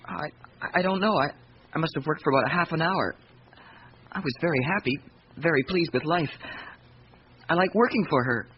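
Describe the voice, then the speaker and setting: A man playing a distraught young office worker in a radio drama, halting and apologetic. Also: a little high, natural voice. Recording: muffled, lightly noisy radio recording.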